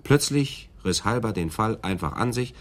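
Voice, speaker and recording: Crime radio drama: man as stern senior detective, low voice, pointed and factual delivery. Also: animated, moderate pace, natural voice. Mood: matter-of-fact. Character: senior police detective, stern, matter-of-fact. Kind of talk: radio play acting.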